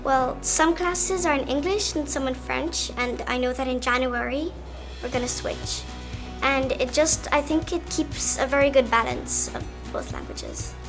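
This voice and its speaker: A woman's voice: high voice, measured pace. A student girl talking casually about her classes. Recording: music clearly underneath.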